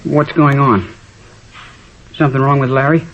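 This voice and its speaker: An actor playing a defiant, insolent fifteen-year-old schoolboy in a 1950s radio drama. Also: male voice, medium pitch, fairly even intonation, normal speed, close to an everyday voice. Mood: defensive. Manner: insolent, evasive. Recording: dull, noisy old-time radio recording.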